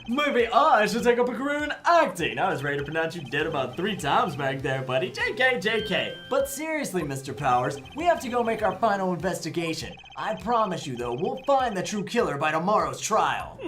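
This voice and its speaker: A woman's voice: on the low side, big pitch swings, brisk, hardly any pauses, a bit bright. A woman playing a goofy, wisecracking young male defense lawyer in a fan-dubbed video game, casual and jokey. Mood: joking, playful.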